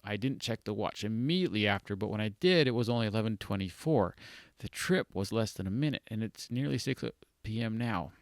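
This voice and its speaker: A man's voice: on the low side, lively intonation, fairly quick, dry recording, clean studio sound. Man reading as observant young male space explorer. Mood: matter-of-fact. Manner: explanatory, thinking things through aloud.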